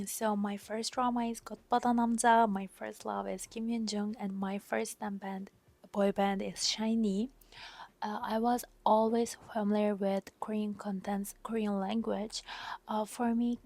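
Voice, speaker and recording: A young Turkish woman speaks English in a soft, breathy near-whisper, pausing often with hesitant fillers. Her voice sits in an ordinary middle pitch for a woman, and she sounds like an exchange student sharing her story in a casual vlog. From Turkey. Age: young adult. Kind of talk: personal vlog monologue.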